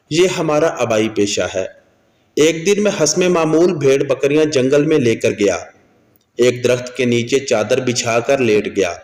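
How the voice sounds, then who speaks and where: A man tells a scripted moral story in a mid-pitched voice. The recording is very echoey, with a long hall reverb trailing his words. He reads as a storyteller narrating to listeners. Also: a little mellow.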